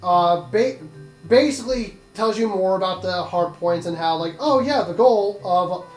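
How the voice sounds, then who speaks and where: A man with a rather high voice hosts a casual video game commentary. He explains in a rambling, chatty way, in an echoey room with faint music behind. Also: unhurried. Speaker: host of a video game let's play.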